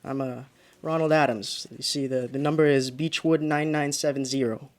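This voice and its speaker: An actor playing a frightened, exhausted driver in a radio drama, halting and muttering to himself. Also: male voice, medium pitch, fairly even intonation, lightly acted. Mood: anxious, hopeful. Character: frightened, exhausted male driver haunted by a phantom. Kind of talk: radio drama monologue and dialogue.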